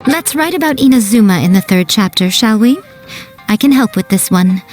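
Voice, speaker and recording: A sly fox-spirit priestess voiced by a woman speaks at a middle pitch. She sounds smooth and unhurried, with a playful, teasing edge in how she addresses others. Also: expressive, a little mellow, soft background music, natural voice. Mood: coaxing.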